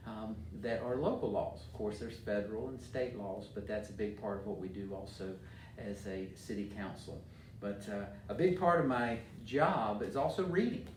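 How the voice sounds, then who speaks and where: Distant, roomy-sounding American man addressing children. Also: fairly low pitch. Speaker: mayor reading to children. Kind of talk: informal talk and storybook read-aloud for children. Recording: roomy echo, distant mic, faint noise.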